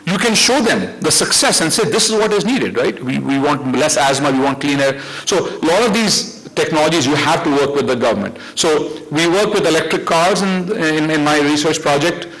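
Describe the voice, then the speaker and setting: Middle-aged Indian man, somewhat high voice. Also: lively intonation, normal speed, hardly any pauses, a bit bright, rough recording. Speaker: academic researcher speaking on a panel. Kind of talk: unscripted conference presentation.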